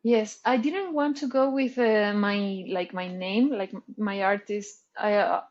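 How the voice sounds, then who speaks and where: A woman's voice: mid-range voice, steady tone, measured pace, a little mellow. Lady chatting in an interview, keeps searching for words, kinda muffled lo-fi audio. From Chile. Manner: thoughtful, searching for words with many "like"s and restarts. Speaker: artist guest. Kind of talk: casual interview talk.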